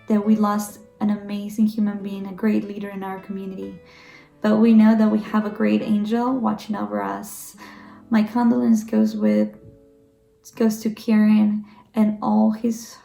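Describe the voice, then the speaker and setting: A woman's voice: medium pitch, gravelly, dark tone. A woman from Ecuador, a Rotary exchange coordinator recording an earnest tribute message with some hesitations. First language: Spanish. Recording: roomy echo with faint guitar.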